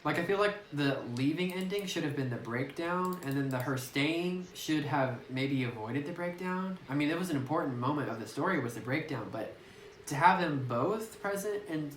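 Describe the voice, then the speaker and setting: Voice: medium pitch, animated, moderate pace, a little hiss or hum. Rambling gamer in an echoey room. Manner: rambling, thinking aloud, heavy use of "like". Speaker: gameplay commentator. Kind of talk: casual let's-play commentary. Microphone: phone microphone. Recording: roomy echoey phone recording.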